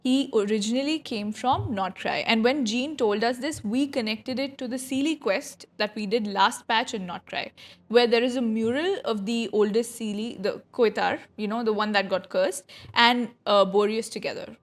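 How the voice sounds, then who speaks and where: Slightly high-voiced woman streamer, chatty. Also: normal speed. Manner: informal, chatty, swearing. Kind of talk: casual livestream reaction commentary.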